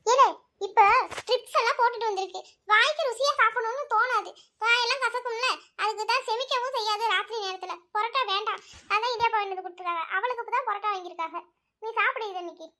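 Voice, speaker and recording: Scolding cartoon mom, woman doing the voice, high and quick, nags a lot. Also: pushed and bright. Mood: explaining. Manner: scolding, nagging. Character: scolding mother of a family, practical.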